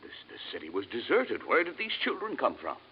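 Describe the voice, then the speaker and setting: An actor playing a brisk, suspicious military space commander in vintage radio drama style. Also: male voice, mid-range voice, huge pitch swings. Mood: cautious. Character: commanding, wary male space expedition commander. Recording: dull, faintly noisy old radio recording.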